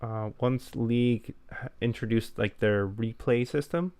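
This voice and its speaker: A young man co-hosting a casual podcast chat, talking in a low-key, plain and self-deprecating way. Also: a little slow, a little mellow, clean tone, no echo. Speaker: podcast co-host.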